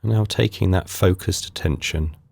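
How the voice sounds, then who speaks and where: A man leading a guided meditation in calm, unhurried, soothing short phrases with pauses between them. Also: low-pitched, creaky, no echo, clean studio sound. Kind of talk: guided body scan meditation.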